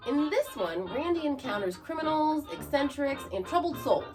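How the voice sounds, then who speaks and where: A woman's voice: high voice, very animated, normal speed, rough recording. A woman hosting a podcast, reading scripted narration with chatty asides. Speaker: podcast host. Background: music.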